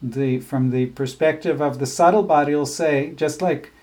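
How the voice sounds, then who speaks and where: A man teaching a scripture class, explaining ideas in a thoughtful, halting way with frequent restarts. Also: on the high side, a little mellow. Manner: chants verses slowly. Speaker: spiritual teacher.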